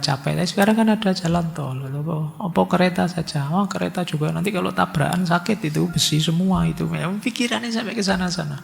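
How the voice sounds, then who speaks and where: A man gives a relaxed religious talk, acting out anxious inner voices as he goes. His voice is slightly high and very gravelly. It rings with a long hall echo, with faint music underneath. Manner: chatty, mixes in Javanese words and acts out worried thoughts. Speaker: lecturer on philosophy and religion. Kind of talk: casual religious lecture.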